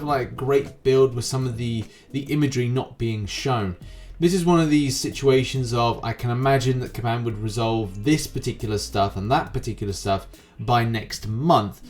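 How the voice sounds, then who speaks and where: Male gaming news host, mid-pitched voice, wordy rambling commentary, online presenter style, background music. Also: a little mellow. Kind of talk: YouTube gaming news commentary, semi-scripted.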